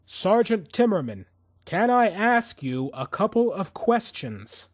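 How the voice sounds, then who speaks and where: A cold, vengeful assassin played by a male narrator in an audiobook thriller. He speaks with taunting menace and simmering rage, his pitch swinging widely in a dry, close recording. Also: sing-song, takes it slowly, dry recording, full character voice. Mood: probing.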